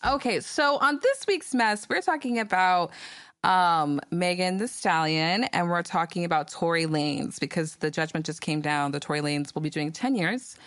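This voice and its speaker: A woman's voice: fairly low pitch, normal speed. A woman hosting a podcast, chatting casually with slang and sass, reading ads and giving her opinion. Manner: sassy, animated commentary with slang. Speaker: podcast host. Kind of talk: casual podcast talk with ad reads.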